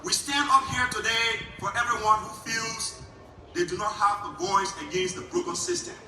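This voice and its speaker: A man's voice: extremely high pitch, animated, moderate pace, bright, forceful tone, reverberant, distant mic, soft background music, poor audio. A man with a Liberian accent giving an impassioned speech at an outdoor rally, building his points through repetition. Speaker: rally speaker.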